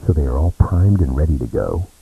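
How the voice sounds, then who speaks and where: A man playing a commanding, secretive resistance leader in a dramatic scenario, with a husky voice and dull lo-fi sound. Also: a bass voice, expressive, brisk, exaggerated voice. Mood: cold command. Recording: dull muffled lo-fi, close and dry.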